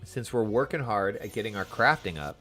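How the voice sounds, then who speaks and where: A man's voice: lowish voice, animated, a little fast, bright, forceful tone, faint music behind. A man chatting casually and enthusiastically as he streams a video game, using slang and talking to viewers.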